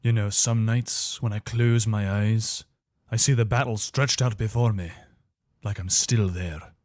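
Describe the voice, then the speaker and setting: A man's voice: fairly low pitch, steady tone, unhurried, a little mellow, no echo, clean studio sound, natural voice. A man playing a loyal, weary imperial soldier in a fantasy video game, speaking in an earnest, conversational, soldierly way. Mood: reflective, earnest. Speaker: voice actor for a game character. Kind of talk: video game character dialogue.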